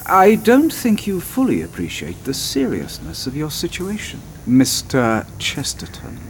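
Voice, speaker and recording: Formal, probing official, lively intonation. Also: male voice, fairly high pitch, a little slow, noisy background, full character voice. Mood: stern, probing. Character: formal, probing male government interrogator. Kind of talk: audio drama acting. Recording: loud music under drama dialogue.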